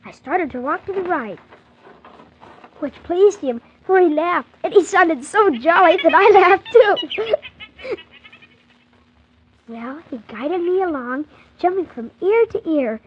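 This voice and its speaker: Young boy narrator, high voice, earnest and polite; muffled, hissy 1940s radio storytelling. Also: takes it slowly, long pauses, exaggerated voice. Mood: amused, excited. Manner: animated, earnest, wondering narration.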